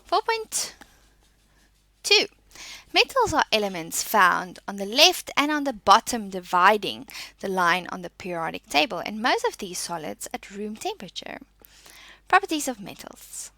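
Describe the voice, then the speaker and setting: A woman teacher with a bright, forceful voice that carries a noticeable creak. Her pitch moves in a lively way as she reads out and explains lesson material in short, deliberate statements. She takes her time, keeping an instructive manner. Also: no echo. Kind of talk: spoken lesson, reading out and explaining.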